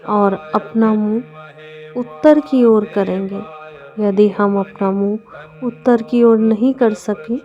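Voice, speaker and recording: A woman leading a guided meditation, giving gentle, deliberate instructions with drawn-out phrasing and long pauses. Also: medium pitch. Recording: dull lo-fi audio with music. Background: background music.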